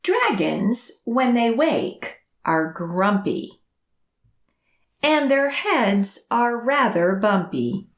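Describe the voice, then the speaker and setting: Expressive woman reading slowly to children. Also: a little high, reverberant. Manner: expressive, measured, clearly phrased sing-song delivery. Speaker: children's librarian leading storytime. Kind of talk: read-aloud picture book storytelling and action rhymes for children.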